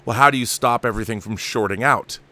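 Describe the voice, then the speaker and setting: A man's voice: mid-range voice, quick pace, bright, forceful tone. A middle-aged man hosting a casual interview, speaking in an enthusiastic, emphatic and rambling manner. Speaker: talk show host, interviewer.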